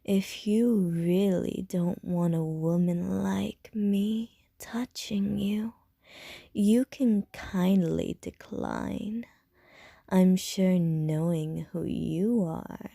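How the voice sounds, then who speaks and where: A woman's voice: medium pitch, very slow, creaky, no room sound, clean studio sound, exaggerated voice. A woman playing a whispering seductive vampire. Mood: sultry whisper. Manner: whispered, soft and teasing. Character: seductive hungry female vampire, flirtatious and coaxing. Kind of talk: ASMR audio roleplay.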